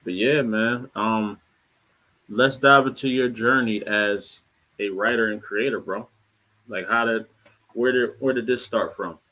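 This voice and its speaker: A man's voice: lowish voice, steady tone, measured pace, bright, forceful tone. A man hosting a casual livestream, talking in a laid-back, slangy way and hyping up his guest. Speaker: livestream host.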